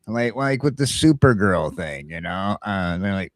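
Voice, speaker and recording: A man with a deep voice, recorded close and dry, chatting casually as a show co-host. He is blunt and opinionated, and often stumbles into a restart. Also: expressive, a little slow, no room sound. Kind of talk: casual chat.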